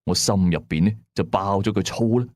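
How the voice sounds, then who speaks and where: 一個香港粵語口音嘅男人，用第一身、口語化又戲劇性嘅方式講廣播鬼古。. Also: male voice, fairly low pitch, fairly quick, a little mellow, no echo, clean studio sound. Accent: Hong Kong Cantonese. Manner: dramatic, colloquial. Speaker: radio drama narrator. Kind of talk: first-person ghost story narration.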